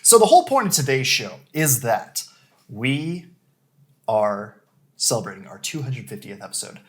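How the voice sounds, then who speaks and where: Lively young man hosting a podcast. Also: medium pitch, a little hiss or hum. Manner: animated, quick sponsor reads. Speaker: podcast host. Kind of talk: casual live-stream chat. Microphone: clip-on lapel mic.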